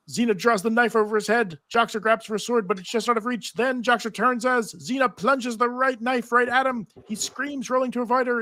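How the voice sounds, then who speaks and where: A man's voice: sits high, bright, forceful tone, dry recording. A man reading stage directions as narrator in a live table read.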